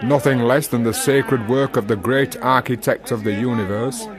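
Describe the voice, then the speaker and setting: A man playing a wry, deferential working-class village sexton in a radio drama, folksy and gently teasing. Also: mid-range voice, fairly even intonation, moderate pace, a crowd in the background, close to an everyday voice. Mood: wry, reverent.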